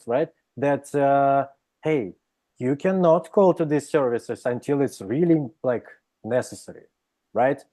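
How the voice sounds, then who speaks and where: A man with a Russian accent talks through his ideas as an interview guest, his voice dark and mellow at a middle pitch. He hesitates often and tags his points with "right?" as he goes. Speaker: psychologist guest. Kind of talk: interview conversation.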